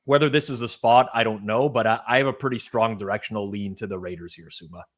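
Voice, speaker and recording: A man's voice: fairly low pitch, fast talker. A man hosting a sports betting discussion, talking casually with restarts and dry asides. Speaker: betting show host.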